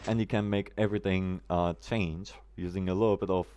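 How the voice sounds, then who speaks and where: A man's voice: sits low, steady tone, fairly quick, a little mellow, a little hiss or hum. Chatty man streaming a game. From Germany. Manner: chatty, greets viewers by name, quirky roundabout phrasing. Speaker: live streamer. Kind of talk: casual gameplay live stream chat.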